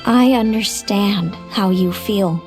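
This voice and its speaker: A woman's voice: medium pitch, slow pace, a little mellow. A woman voicing a sad, resigned, consoling sister in a video game, speaking solemnly. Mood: solemn resignation. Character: sorrowful, resigned sister of long-lived folk. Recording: music behind. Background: game music.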